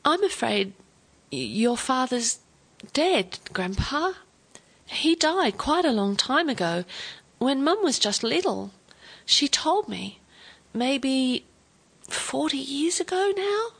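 Storybook granddaughter, voiced by a woman: young, caring, hesitant, gently worried. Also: fairly high pitch, expressive, moderate pace, husky, pitched above the performer's own voice. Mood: gentle, hesitant.

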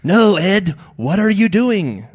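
A man voicing small, excitable bait shrimp in a storybook narration, proclaiming things insistently and ominously. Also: very high voice, normal speed, pitched above the performer's own voice.

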